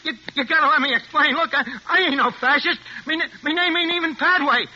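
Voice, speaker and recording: Cocky street-slang forger, bright and high, dull vintage radio recording. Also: male voice, quick pace, heavily acted. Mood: panicked pleading. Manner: street slang, tough-guy drawl. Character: wisecracking crooked American forger man, cocky. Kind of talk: 1940s radio acting. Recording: dull old radio recording, faint hiss.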